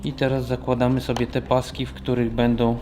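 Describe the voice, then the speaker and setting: A man's voice: mid-range voice, flat delivery, a little fast, mellow, a little hiss or hum. A man gives a casual hands-on tutorial as a technician, explaining step by step in a plain, practical way. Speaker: motorcycle shop technician demonstrating installation.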